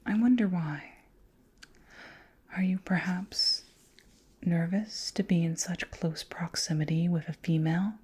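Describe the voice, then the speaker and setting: A woman acts out an alien queen, curious and clinical yet tender, for whispered ASMR. Her voice is low, dark and very breathy. She addresses the listener slowly, in formal, soothing phrases. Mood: fascinated, curious. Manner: slow, gentle, formally worded, soothing.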